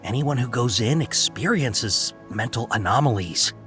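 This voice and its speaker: A man's voice: mid-range voice, expressive, unhurried, over music, natural voice. A man playing a worried, apologetic caravan merchant in a game, explaining himself hesitantly. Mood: worried, defensive. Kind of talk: English game dub dialogue.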